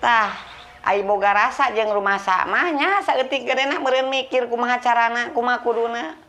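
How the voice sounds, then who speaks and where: A man's voice: very high voice, moderate pace, bright, forceful tone, soft background music, pitched above the performer's own voice. A man voicing a haughty, blunt older woman creditor in a Sundanese folk comedy story, with a Sundanese accent. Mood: scolding. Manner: scolding, blunt, coarse-worded. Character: haughty, sharp-tongued older woman creditor dunning debtors.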